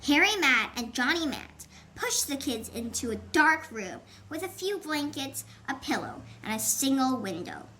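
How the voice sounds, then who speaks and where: A woman's voice: high voice, big pitch swings, unhurried, sharp tone. A woman reading a scripted children's adventure story as an audiobook narrator. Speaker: audiobook author and reader. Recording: faint background noise.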